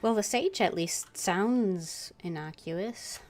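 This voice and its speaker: Woman gamer streaming, wry and dry; slow talker, faint music behind. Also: mid-range voice, darkish tone. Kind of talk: casual gameplay commentary.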